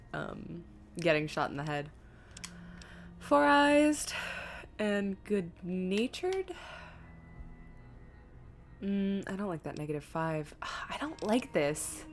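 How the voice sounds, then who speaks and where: Low-pitched woman streaming a game, music behind. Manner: chatty, reads options and chat aloud, thinks out loud. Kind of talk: casual live game stream commentary.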